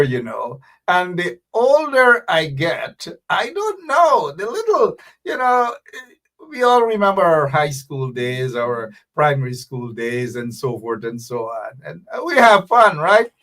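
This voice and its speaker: Animated man hosting a livestream. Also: on the high side, unhurried. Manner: chatty, rambling, with frequent asides. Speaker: host. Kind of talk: casual livestream talk.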